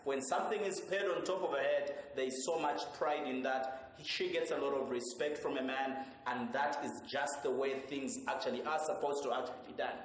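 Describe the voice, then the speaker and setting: A man's voice: mid-range voice, fairly even intonation, moderate pace, few pauses. A man hosting a panel talk show, earnestly preaching about doing things the right way as he wraps up. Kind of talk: panel discussion. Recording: echoey roomy hall with faint noise.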